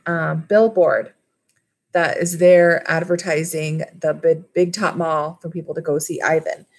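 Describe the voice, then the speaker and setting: A woman in her thirties reading a children's book aloud as a school librarian, with casual asides to the listeners. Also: on the low side. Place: small wood-panelled room at home. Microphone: webcam or laptop at desk distance.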